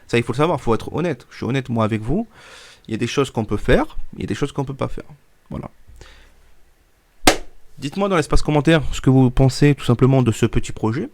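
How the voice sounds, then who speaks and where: Twenties male YouTuber, congested, mid pitch, rambling vlog talk, restarts. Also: animated, fast, pauses often, darkish tone, slight background noise. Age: 20s. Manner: rambling, many fillers and restarts. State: stuffy from a cold. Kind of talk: casual vlog talk to viewers.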